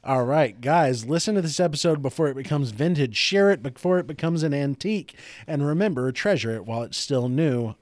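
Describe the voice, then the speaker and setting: A man trades teasing jabs on a podcast, his pitch bouncing all over as he jokes and argues in fun. The sound is dry and close, with no room around it. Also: expressive, fairly quick, dry recording. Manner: joking, teasing, playfully argumentative. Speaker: podcast co-host. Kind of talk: casual podcast banter.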